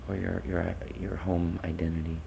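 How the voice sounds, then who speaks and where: Very deep, creaky-voiced male interviewer. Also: level tone, moderate pace, warm tone, slight background noise. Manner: hesitant, tentative phrasing. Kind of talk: unscripted interview questioning.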